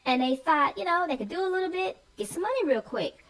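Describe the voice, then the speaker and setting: A woman's voice: very high-pitched, expressive, quick pace, a bit bright. A woman co-hosting a casual, informal discussion of a children's story, speaking her opinions freely. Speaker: read-aloud show co-host.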